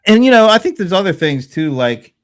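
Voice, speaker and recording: A man in his fifties in casual panel chat, thinking aloud in a halting way and repeating words. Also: sits high, big pitch swings. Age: 50s. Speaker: panelist on a small business show.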